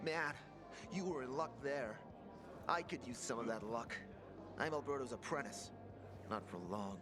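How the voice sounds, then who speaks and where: A man plays a fretful young apprentice inventor in a video game, rambling on with a lively, bouncing voice that sits a little high. He swings between gloom and sudden excitement. Game music plays clearly behind him. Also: natural voice. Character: anxious young male apprentice inventor, self-doubting. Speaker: apprentice craftsman.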